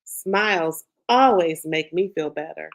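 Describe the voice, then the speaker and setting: A middle-aged woman who writes children's books, reading her story aloud with lively pitch swings and then chatting casually, leaning on "you know.". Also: unhurried. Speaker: children's book author. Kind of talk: reading her picture book aloud, then interview chat. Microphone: video call.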